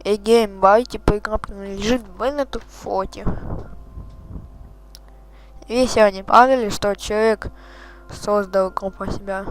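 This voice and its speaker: High-voiced man swearing through a let's-play. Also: steady tone, a little slow, long pauses, slight background noise, rough recording. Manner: chatty and profane, reacting to the game. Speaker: gaming channel host. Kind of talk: casual let's-play commentary.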